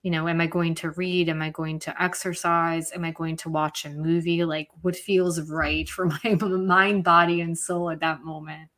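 Woman from Serbia, lowish voice, informal conversational delivery, reflective yet expressive. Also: moderate pace, hardly any pauses. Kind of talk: casual chat.

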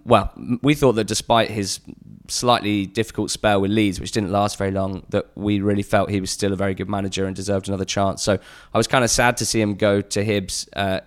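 Smooth-voiced English man, low and flat. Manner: chatty, earnest, many fillers and restarts. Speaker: podcast guest. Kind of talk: casual podcast chat.

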